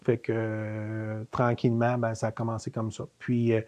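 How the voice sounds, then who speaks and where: A man's voice: fairly low pitch, completely flat delivery, moderate pace, warm tone, muffled recording. A man in his fifties with a Quebec French accent, telling family stories as an interview guest, with many fillers and restarts. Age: 50s. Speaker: interview guest, manor co-owner. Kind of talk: casual storytelling interview.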